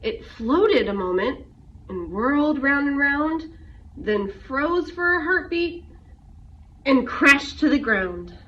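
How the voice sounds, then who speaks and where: A woman reading a rhyming picture book aloud to young children in an expressive storytelling way. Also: sits high, takes it slowly. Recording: muffled, noisy, distant microphone.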